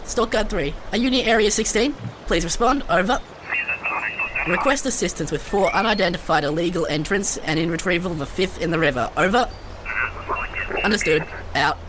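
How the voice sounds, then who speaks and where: A woman playing an officious stork border guard in an audio drama, clipped and commanding, quick to get flustered. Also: fairly low pitch, noisy background, natural voice. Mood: stern, officious.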